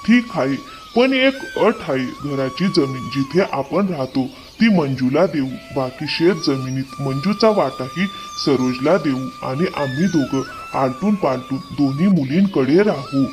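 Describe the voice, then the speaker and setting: An emphatic village family man acted by a man in a cartoon moral tale. The voice is fairly high and hugely expressive in its swings, full of emotion, with faint music behind. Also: a little slow. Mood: serious, firm. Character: older village father and pleading brother-in-law. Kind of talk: animated moral story dialogue.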